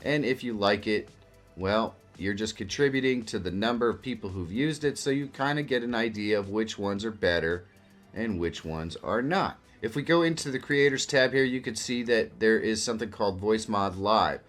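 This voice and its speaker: Low-pitched man giving a casual tutorial. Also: moderate pace, a bit bright. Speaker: video tutorial host. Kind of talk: casual screen-share software walkthrough. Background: background music.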